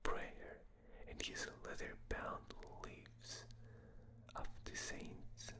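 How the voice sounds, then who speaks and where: A man with a non-native accent reading a novel aloud in a soft, fully unvoiced ASMR whisper on a binaural microphone, late at night. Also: extremely slow. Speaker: ASMR reader. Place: dark room at night, reading from a tablet.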